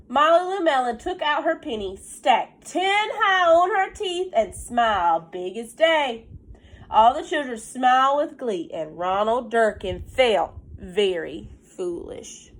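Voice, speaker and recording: Slow-paced, higher-voiced woman teacher reading to children. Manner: simple, emphatic, encouraging talk aimed at young children. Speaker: teacher reading aloud to young pupils. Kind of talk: animated picture-book read-aloud with warm asides to children.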